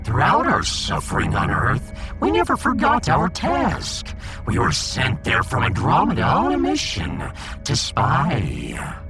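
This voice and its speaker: A man's voice: medium pitch, wildly swooping pitch, a little slow, over music. A man voicing a pompous, gloomy little alien fish emperor in a sci-fi audiodrama, theatrical, long-winded and imperious. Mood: aggrieved, melodramatic. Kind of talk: sci-fi audiodrama character acting.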